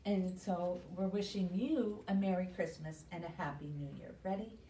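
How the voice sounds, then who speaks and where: A woman's voice: on the low side, normal speed, mellow, slight background noise. A woman hosting a children's story time, giving simple, encouraging instructions and questions to young children. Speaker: library story time host. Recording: roomy echo, distant microphone.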